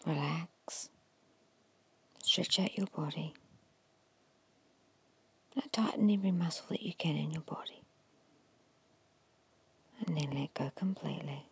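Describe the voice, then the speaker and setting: A woman giving an ASMR guided hypnosis in a hushed, breathy whisper, slowly and soothingly instructing with long pauses. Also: sits low. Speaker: hypnotist guiding a meditation.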